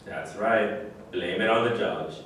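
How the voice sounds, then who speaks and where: A man acting a blunt, low-voiced challenger, echoing. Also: unhurried, fairly bright tone. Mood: challenging. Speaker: actor in a staged reading. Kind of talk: staged play reading. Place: echoey indoor room at a staged reading. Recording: distant, very echoey room with noise.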